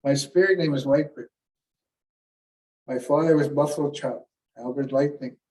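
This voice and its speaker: A man's voice: mid-range voice, moderate pace, darkish tone. A man from Canada giving a keynote as an elder, teaching earnestly through stories and retold conversations. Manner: earnest, teaching, retells conversations with many restarts. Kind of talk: keynote talk with storytelling. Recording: voice picked up from a distance.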